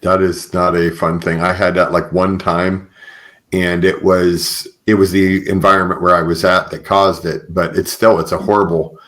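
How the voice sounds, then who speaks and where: A man with a low-pitched voice hosts a podcast interview. His delivery is casual and informal, in the style of an everyday conversation. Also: level tone, moderate pace, a bit bright. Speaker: podcast host.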